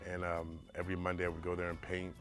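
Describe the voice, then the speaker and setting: Deep-voiced man with soft music behind. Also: fast. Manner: relaxed, rambling with many fillers. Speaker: shoe painter. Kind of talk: documentary interview.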